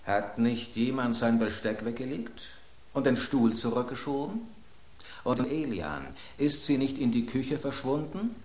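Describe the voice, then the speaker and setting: A man's voice: medium pitch, lively intonation, unhurried, darkish tone, close to an everyday voice. An actor playing a foreboding male watcher in a radio drama, dramatic and portentous. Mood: alarmed suspicion. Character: foreboding, doomed male watcher at a dinner table. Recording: roomy, echoing sound.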